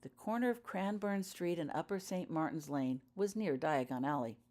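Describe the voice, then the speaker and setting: A woman's voice: low-pitched, normal speed, a little mellow. A woman reading her own fiction aloud as audiobook narration, telling the story in character-focused prose.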